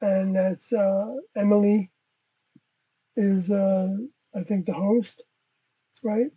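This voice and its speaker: A livestream host with a mellow, husky voice, chatting casually and wandering from point to point. The sound is dull and muffled, as on a basic stream. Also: medium pitch, fairly even intonation, slow. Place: inside an RV.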